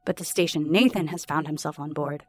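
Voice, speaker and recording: An actress playing an informative space probe archive, giving measured scripted narration in a sci-fi audio drama. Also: female voice, low-pitched, darkish tone, soft background music, lightly acted.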